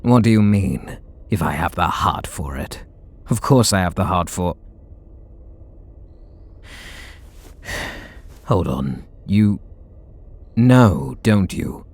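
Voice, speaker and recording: A brooding male super villain speaks in a fairly low voice, acted out by a man. He is sardonic and hides a deep devotion. The delivery is scripted ASMR roleplay, with dramatic pauses. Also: lively intonation, darkish tone, husky, exaggerated voice. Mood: rattled, exasperated.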